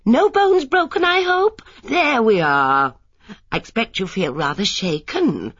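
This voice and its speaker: A woman voicing a plump, middle-aged housewife with a loud, friendly manner. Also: low voice, big pitch swings, normal speed, husky, dry recording, muffled recording, exaggerated voice. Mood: gushing.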